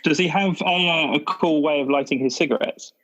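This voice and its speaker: A man's voice: fairly high pitch, fairly quick, fairly bright tone, dull audio. A man riffing casually with friends as a roleplaying player, enthusiastic and playful.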